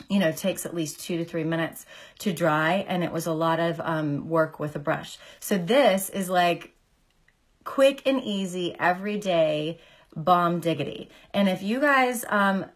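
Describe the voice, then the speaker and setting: A woman in her forties, a makeup artist, chatting through a casual makeup tutorial on a phone camera, her voice deeper as she recovers from illness. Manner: chatty, filler-heavy, greets viewers by name. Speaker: makeup artist and beauty seller. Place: small indoor room. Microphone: phone camera at arm's length.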